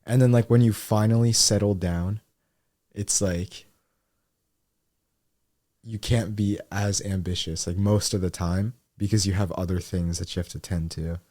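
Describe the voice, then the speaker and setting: A man talking casually on a podcast, reflective and thoughtful, often filling pauses with "like". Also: low voice, fairly even intonation, warm tone, no room sound, studio quality. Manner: thoughtful, reflective, frequent "like" fillers.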